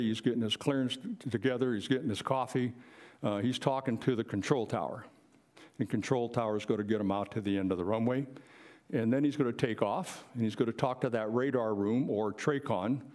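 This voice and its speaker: A man's voice: mid-range voice, darkish tone. An older man speaking plainly and explaining things in an informal presentation to a live audience. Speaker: presenter, retired air traffic controller.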